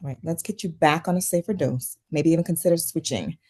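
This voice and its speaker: A woman playing a concerned, guarded psychiatrist with a measured professional manner at a drama table read. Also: sits low, mellow. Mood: calm concern. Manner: measured, professional, hesitant at times.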